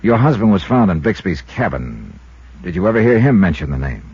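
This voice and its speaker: Low-voiced hard-boiled American investigator. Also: male voice, brisk, slightly rough, full character voice. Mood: polite, probing. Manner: clipped, hard-boiled, deadpan. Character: male insurance investigator, dry, probing, world-weary. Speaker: lead actor and narrator of a detective series. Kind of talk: 1950s radio detective acting. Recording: muffled 1950s radio recording, music behind.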